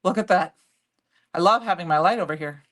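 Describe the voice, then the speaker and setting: A middle-aged woman with a loud voice and a slightly low pitch hosts a live crafting stream. She talks in a chatty, rambling way while she works and answers viewer comments. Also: fairly quick. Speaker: livestream craft host.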